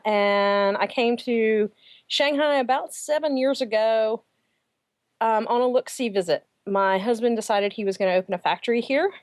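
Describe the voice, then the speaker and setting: A woman's voice: fairly high pitch, moderate pace, no room sound. A woman from Atlanta with a Southern US accent, a fifth-grade teacher talking in an easygoing way as an interview guest. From Atlanta, Deep South US.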